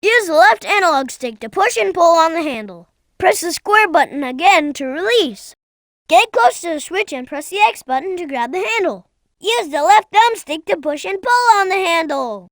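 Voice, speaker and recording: An eager young fox boy from a video game, with an extremely high, childlike voice. The delivery is animated yet earnest and instructive, each phrase clearly spoken. The sound is dry and close, like a studio game recording. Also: moderate pace, no room sound, heavily acted. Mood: helpful, curious. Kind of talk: scripted video game tutorial voice acting.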